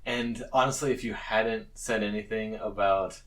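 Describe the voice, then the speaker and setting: A man's voice: on the low side, fairly even intonation, normal speed, fairly bright tone. Male game master, distant from the mic. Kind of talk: explaining rules in a casual tabletop game.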